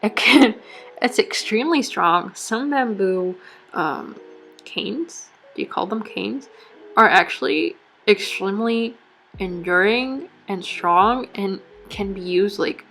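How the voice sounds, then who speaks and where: A woman's voice: medium pitch, slow pace, vocal fry, a bit bright, soft background music, poor audio. A woman who is not a native English speaker, fighting off a cold, chatting casually through a journal setup with lots of fillers and self-corrections. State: has a cold. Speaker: bullet journal vlogger. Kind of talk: casual chat.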